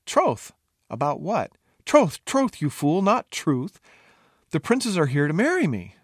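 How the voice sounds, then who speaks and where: A man voicing a sharp-tongued young princess in a storybook, imperious, scolding and exasperated. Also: mid-range voice, expressive, normal speed, no echo, studio quality, close to an everyday voice.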